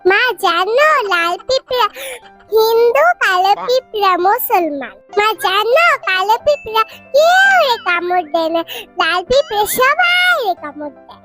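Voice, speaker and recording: Tiny squeaky cartoon girl, chatty little know-it-all, naive and earnest, music behind. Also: very animated, unhurried, bright, forceful tone, exaggerated voice. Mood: curious, earnest. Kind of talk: cartoon voice acting.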